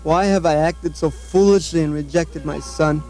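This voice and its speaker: A man's voice: on the high side, steady tone, moderate pace, darkish tone, soft background music, lightly acted. A man playing a remorseful king and anxious father with anguished, melodramatic puppet show delivery. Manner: anguished, dramatic.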